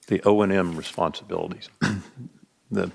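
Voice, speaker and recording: A man with a very deep, gravelly voice speaks at a school board meeting. He puts his question in a measured, halting way. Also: steady tone. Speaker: board member.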